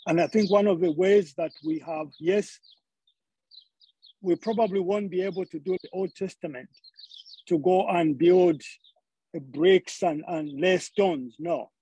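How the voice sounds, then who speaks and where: A man's voice: high-pitched, measured pace, mellow, husky, low-quality recording. A man from Zambia preaching a sermon, often repeating words as he searches for the next phrase.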